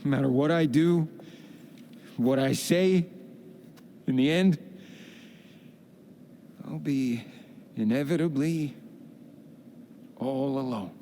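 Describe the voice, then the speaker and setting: An actor playing a hapless, lonely, self-pitying adventurer in a video game, with a folksy, rueful way of talking. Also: male voice, medium pitch, takes it slowly, pauses often, dark tone, slight background noise. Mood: dejected, self-pitying. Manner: folksy, colourful exclamations, rueful. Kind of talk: video game cutscene acting.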